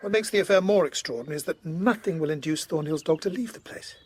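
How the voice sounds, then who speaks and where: A grave, courteous Georgian colonel played by a man in a period audio drama. His voice is husky and a little high, telling his tale formally, with measured hesitation.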